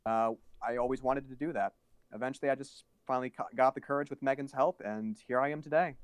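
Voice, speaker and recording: A young moderator leads a casual panel, speaking briefly between guests and calling each by name. The sound is close and dry. The delivery is relaxed and conversational. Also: medium pitch, fast, smooth, no room sound.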